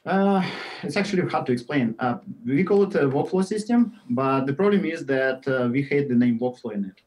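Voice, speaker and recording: A man in his forties speaks with a Russian accent and a dark, mellow tone. He explains things haltingly, with plenty of uhs and restarts, and his voice sinks quieter as sentences trail off. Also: medium pitch, poor audio. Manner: halting, many fillers and restarts, drifts quieter at phrase ends. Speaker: guest software engineer. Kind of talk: podcast interview answers.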